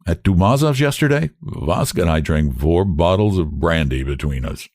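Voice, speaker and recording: A man's voice: sits low, lively intonation, normal speed, a little mellow, dry recording, studio quality, pitched below the performer's own voice. A man voicing a tall, hungover young man bragging about drinking. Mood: boastful.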